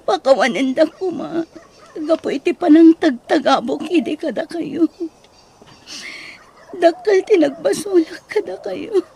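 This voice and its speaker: An actress playing a remorseful grown daughter in an Ilocano radio drama, pleading and emotive, with an Ilocano accent. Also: female voice, extremely high pitch, measured pace, creaky, long pauses, mellow, a little hiss or hum, full character voice. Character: remorseful, pleading grown child seeking parents' forgiveness.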